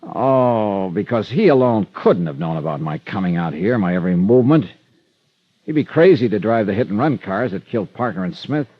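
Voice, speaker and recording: Dogged, wry insurance investigator; American, lower register, dry close sound, golden-age radio crime drama. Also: male voice, big pitch swings, normal speed, dry recording. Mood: wry, pondering. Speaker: detective and first-person narrator. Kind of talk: 1940s-50s radio drama acting. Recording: dull vintage radio recording.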